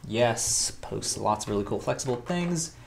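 Gravelly casual male co-host, noisy background. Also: mid-range voice, animated, normal speed. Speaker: co-host of a maker show. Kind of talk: casual unscripted chat about 3D printing projects.

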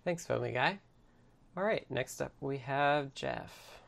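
A man's voice: mid-range voice, takes it slowly, vocal fry, a bit bright, slight background noise. A man hosting a casual online team meeting, passing the turn along and giving updates, sometimes reading from notes. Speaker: meeting host.

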